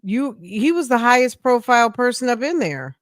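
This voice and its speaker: A woman hosting a podcast, chatting casually and firing off quick, probing questions. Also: fairly high pitch, sing-song, dry recording, studio quality. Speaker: podcast host.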